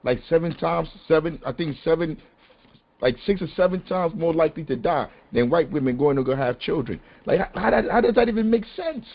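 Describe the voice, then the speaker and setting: A man hosting a podcast, talking casually and with animated emphasis. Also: fairly high pitch, fairly quick, gravelly. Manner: animated, conversational, rising to exasperated emphasis. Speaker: podcast host. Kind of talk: casual discussion on a call-in podcast. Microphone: phone or conference call. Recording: dull lo-fi call audio.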